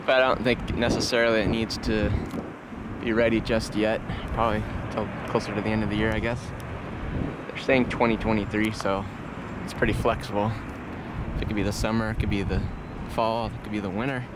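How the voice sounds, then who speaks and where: A man giving a casual, unscripted vlog tour, speaking in a halting, low-key way as if thinking aloud. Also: level tone. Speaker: vlogger. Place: outdoors on an open parking structure. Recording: windy outdoor audio, loud vehicle noise. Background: wind, vehicles, urban noise.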